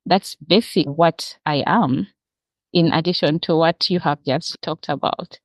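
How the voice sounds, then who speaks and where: A woman's voice: sits low, a little slow, dry recording. A woman from Uganda with a Ugandan accent, explaining her work earnestly as an interview guest. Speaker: interview guest, social worker. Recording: dull, muffled lo-fi call audio.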